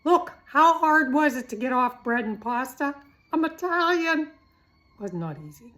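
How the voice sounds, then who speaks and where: A woman's voice: high voice, expressive, measured pace, a bit bright. A middle-aged woman giving an informal, chatty health talk, blunt and teasing, firing rhetorical questions at the listener. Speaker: health vlogger.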